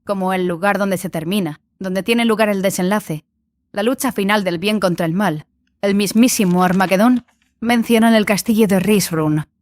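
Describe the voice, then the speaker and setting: A woman's voice: mid-range voice, moderate pace, darkish tone, dry recording, close to an everyday voice. Woman acting earnest, questioning young female adept. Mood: earnest, curious. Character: young female adept, inquisitive and defiant dreamer. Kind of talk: audiobook drama acting.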